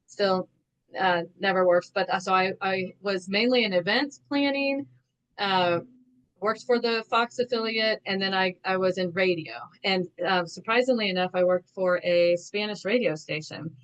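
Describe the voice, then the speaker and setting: A woman's voice: mid-range voice, moderate pace, lo-fi sound. A woman from the American Midwest, a podcast guest, chatting about her background with many fillers. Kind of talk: casual interview storytelling.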